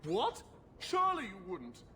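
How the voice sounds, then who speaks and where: An actor playing a cowardly small-time crook in a video game, wheedling, stammering and pleading. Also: male voice, sits high, huge pitch swings, unhurried, bright, forceful tone, slight background noise, full character voice. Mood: nervous pleading. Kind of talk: video game character acting.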